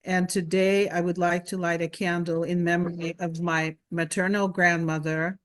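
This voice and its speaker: A woman's voice: lowish voice, normal speed. A woman from Ukraine giving a composed dedication at a remembrance ceremony. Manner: even and composed. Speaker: candle lighter at a remembrance ceremony.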